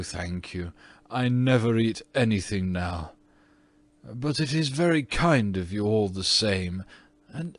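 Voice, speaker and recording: A man's voice: medium pitch, no room sound. A man voicing a petulant, haughty old aristocratic English ghost in a storybook, speaking in a pompous, theatrical way. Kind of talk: storybook character reading.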